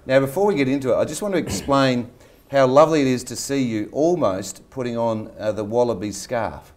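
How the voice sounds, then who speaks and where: A man's voice: medium pitch, brisk, a little mellow. An older man hosting an interview show, telling a chatty personal story before he introduces his guest. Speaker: interview host.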